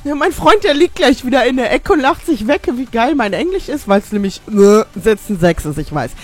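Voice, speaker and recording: A woman's voice: fairly high pitch, animated, moderate pace, a little mellow. A woman from Germany in her forties, hosting a live web radio show, chatting casually and mocking herself with many fillers. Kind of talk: casual live radio chat reading listener messages.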